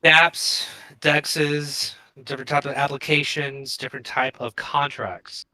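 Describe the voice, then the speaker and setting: A man's voice: a little high, level tone, unhurried, bright, forceful tone, low-quality recording. A man co-hosting a livestream, explaining technical points in casual, conversational talk. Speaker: co-host of a crypto show.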